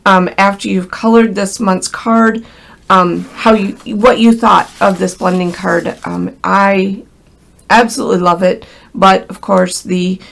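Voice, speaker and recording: A woman's voice: mid-range voice, flat delivery, unhurried, creaky, a bit bright. An American woman with a crackly voice from a cold gives a casual, chatty hands-on craft tutorial, speaking directly and in a friendly way to viewers. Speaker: craft instructor.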